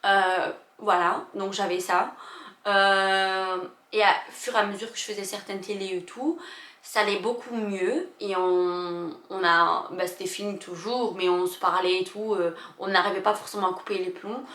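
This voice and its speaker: A young woman vlogger, not a native French speaker, telling a personal story in an emotional, halting way with many fillers and restarts. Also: medium pitch, fairly even intonation, fairly bright tone. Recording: echoey room.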